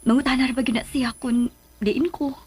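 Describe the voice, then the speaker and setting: A woman's voice: high voice, fairly even intonation, brisk, a little mellow, husky. A woman with a Cebuano accent playing an anxious, protective middle-aged mother in love in a radio drama. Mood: worried.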